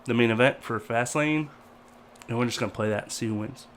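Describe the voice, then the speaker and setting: A man's voice: mid-range voice, a little fast, fairly bright tone, slight background noise. A man co-hosting a casual wrestling review show, talking loosely while getting over a cold that leaves him stuffy. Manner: rambling, loose and chatty. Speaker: co-host. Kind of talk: casual chat.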